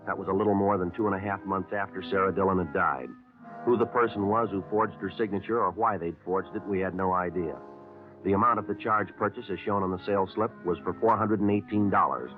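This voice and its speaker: An actor playing a terse police sergeant who narrates the case in a clipped, flat, matter-of-fact way, in a 1950s radio drama. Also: male voice, sits low, close to an everyday voice. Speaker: detective narrator. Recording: tinny lo-fi 1950s radio broadcast.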